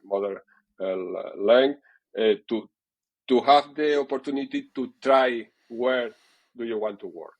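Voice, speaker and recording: A middle-aged man from Catalonia with a Catalan Spanish accent, speaking English as a second language and answering interview questions haltingly, with many fillers and repeated words. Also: mid-range voice, dull audio. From Catalonia, Spain. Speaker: TV sports director, guest.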